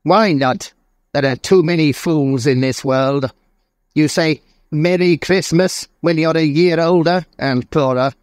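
A man acts out a cold, mean old businessman from a storybook. The voice is hard and raised in pitch, biting off curt, scornful dismissals. The sound is close and dry. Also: a little slow, no room sound, close to an everyday voice. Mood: irritated. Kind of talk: audiobook character reading.

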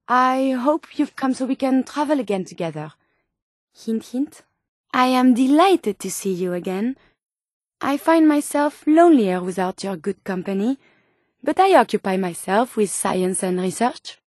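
A woman's voice: a little high, darkish tone, dry recording, clean studio sound. An actress voicing an earnest, polite female robot scientist in a video game, with formal, precise phrasing. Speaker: video game companion character. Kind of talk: acted video game dialogue.

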